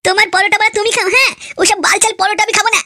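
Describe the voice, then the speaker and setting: A man voicing a hot-headed, foul-mouthed young cartoon man who rants and swears constantly, through a pitched-up effect. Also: fairly even intonation, very fast, bright, forceful tone, heavily acted. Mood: defiant. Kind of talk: comedy cartoon dialogue.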